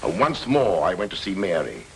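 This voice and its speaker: A man playing a persistent, wry investigator who narrates in measured, dry 1940s film style. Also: deep voice, huge pitch swings, creaky, a bit bright, a little hiss or hum, exaggerated voice, poor audio. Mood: calm. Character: dogged middle-aged male investigator, wry and persistent. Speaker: detective narrator.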